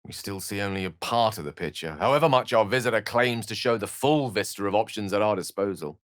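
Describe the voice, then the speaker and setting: An actor playing a learned, courtly wizard in a fantasy video game, theatrical and articulate, with formal, earnest phrasing. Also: male voice, lowish voice, a little fast, no echo, clean studio sound, natural voice. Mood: pensive. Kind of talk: scripted fantasy game dialogue.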